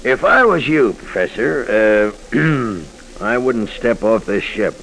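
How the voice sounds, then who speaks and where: A man's voice: mid-range voice, expressive, takes it slowly, fairly bright tone. An actor playing a brash, cynical American insurance man in 1940s radio style, slangy and blunt. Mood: confiding, cynical. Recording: faintly noisy 1940s radio recording.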